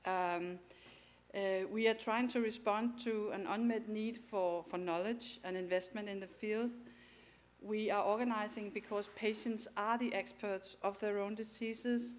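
A woman with a Danish accent, a native Danish speaker, giving a conference presentation in a hesitant way, with many fillers and restarts. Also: mid-range voice. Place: conference hall.

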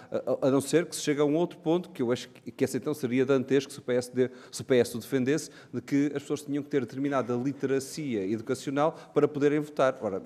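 A man's voice: medium pitch, warm tone. A man with a European Portuguese accent giving an argumentative parliamentary speech, with frequent hesitations and restarts. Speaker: member of parliament. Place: parliament chamber. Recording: long reverb of a large chamber.

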